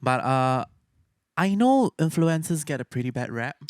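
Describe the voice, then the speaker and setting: A man's voice: fairly high pitch, sing-song, moderate pace, a little mellow, no echo, studio quality. A young man with a Singaporean accent hosting a podcast in a casual, conversational interviewing style. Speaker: podcast host.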